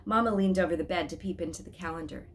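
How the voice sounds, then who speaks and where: A woman reading a children's story aloud as an expressive storyteller, with dramatic, emphatic phrasing. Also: on the low side, fast.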